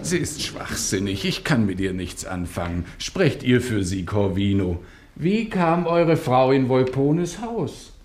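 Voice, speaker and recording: A stern Venetian magistrate in a radio play. He is formal and impatient, commanding with deliberate, slow-paced authority. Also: medium pitch, very animated, a little mellow, slight background noise. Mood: exasperated. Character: stern, impatient male judge of the Venetian court. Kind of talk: German radio drama acting.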